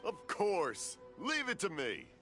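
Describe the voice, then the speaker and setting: Cheerful elderly father, befuddled, halting, hugely animated pitch, video game dialogue, music behind. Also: heavily acted. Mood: cheerfully befuddled. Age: older. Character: cheerful, hard-of-hearing elderly father, proud fireworks craftsman. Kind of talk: English video game character acting.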